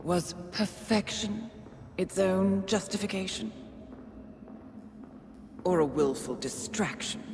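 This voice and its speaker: An actress playing a poised, calculating woman handler in a game cutscene, speaking in a measured, quietly persuasive way. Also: on the low side, noisy background, heavily acted. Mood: taunting. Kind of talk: video game cutscene acting.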